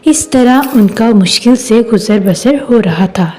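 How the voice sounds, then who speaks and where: A woman's voice: fairly high pitch, a little slow, a little mellow, breathy edge. A woman narrating a scripted story as a storyteller. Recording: music behind the voice. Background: background music.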